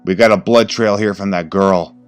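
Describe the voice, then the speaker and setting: A man's voice: fairly low pitch, steady tone, moderate pace, faint music behind, pitched above the performer's own voice, close to an everyday voice. A man playing a tough middle-aged detective who is stern but charming. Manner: stern, gruff.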